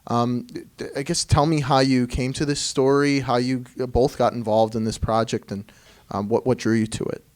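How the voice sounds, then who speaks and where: A man's voice: medium pitch, steady tone, normal speed. A man interviewing filmmakers, asking loose, rambling questions full of fillers. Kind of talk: press interview.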